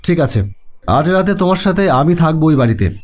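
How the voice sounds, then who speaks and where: A man playing a practical, skeptical house owner in a cartoon horror story, speaking in a measured way. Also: a little high, expressive, darkish tone, natural voice.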